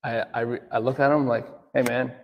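Man, 30s, casual rambling talk, lots of fillers, middle pitch, long reverb. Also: steady tone, quick pace, creaky, lo-fi sound, slight background noise. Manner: rambling, filler-heavy, says "right?" often. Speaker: auto detailer, business owner.

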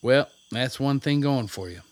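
Suspicious, gruff hillbilly man played by an actor. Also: mid-range voice, lively intonation, moderate pace, close to an everyday voice. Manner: threatening, gruff, folksy. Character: suspicious, raw-boned gray-haired hillbilly man with a rifle. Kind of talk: radio play acting.

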